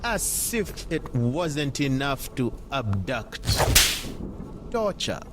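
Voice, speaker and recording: A man's voice: medium pitch, sing-song, drawn-out words, very noisy. A man playing a stern, disgusted older judge in an audio drama, speaking in a formal, grave and scathing manner.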